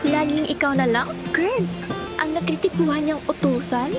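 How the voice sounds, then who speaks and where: Woman acting a high-pitched, pert Filipina officemate. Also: normal speed, close to an everyday voice. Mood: curious. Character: chatty, pert young female office friend. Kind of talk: Filipino audio drama acting. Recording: loud music over the voice.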